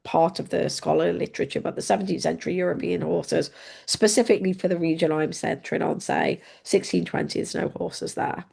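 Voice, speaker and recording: A woman's voice: lowish voice, fairly even intonation, fairly quick, creaky, darkish tone, husky. A woman from England with an English accent, an unwell guest lecturer answering questions politely on a video call. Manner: polite and appreciative. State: unwell, under the weather. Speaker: guest speaker, history lecturer.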